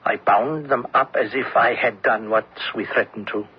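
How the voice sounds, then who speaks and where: An actor playing a gentle, thoughtful German lieutenant in a radio drama, reasoning earnestly. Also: male voice, medium pitch, steady tone, normal speed, breathy edge. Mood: troubled. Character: conscience-troubled German army lieutenant, gentle, thoughtful, male. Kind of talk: old-time radio drama acting. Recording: dull lo-fi radio broadcast recording.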